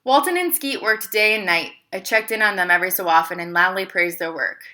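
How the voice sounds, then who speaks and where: Woman reading as bright-voiced, chatty storybook emperor Twill. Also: mid-range voice, a little fast, close to an everyday voice. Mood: scheming, dramatic. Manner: expressive, confiding storytelling with rhetorical questions. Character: embarrassed but clever male storybook emperor, chatty and self-justifying. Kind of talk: children's storybook read-aloud.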